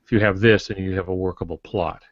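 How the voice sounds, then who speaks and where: An older man with a lowish voice explains things deliberately, like a teacher on a podcast. The sound is dull and narrow, with a dry edge to none of it. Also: fairly even intonation. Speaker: podcast co-host and novelist. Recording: dull, roomy lo-fi audio.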